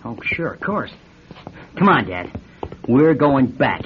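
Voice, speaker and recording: A man's voice: medium pitch, sing-song, slow pace, slight background noise. A man acting in a radio drama as a blunt, agitated race car driver who refuses to accept death. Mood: relieved. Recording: muffled old radio drama recording.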